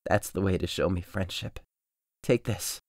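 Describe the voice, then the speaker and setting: A man playing a desperate, grief-stricken young husband in a dramatic audio drama, intense and swinging between hushed menace and anguish. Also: low voice, measured pace, no echo, studio quality. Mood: commanding, menacing. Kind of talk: dramatic audio drama acting, modernized Shakespeare.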